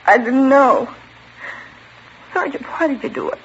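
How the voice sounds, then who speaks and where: A woman's voice: sits high, lively intonation, measured pace, slight background noise. An actress playing a distraught young woman in love with a robbery suspect, in 1950s radio drama style. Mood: pleading. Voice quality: emotional, pleading. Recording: muffled old radio broadcast.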